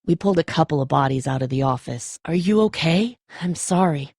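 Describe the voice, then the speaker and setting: A woman's voice: low voice, lively intonation, brisk, darkish tone, slightly rough, dry recording, clean studio sound, heavily acted. An actress playing a tough, stressed, protective woman who leads zombie-apocalypse survivors in a dramatic video game, speaking bluntly and with urgency. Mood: weary, explaining.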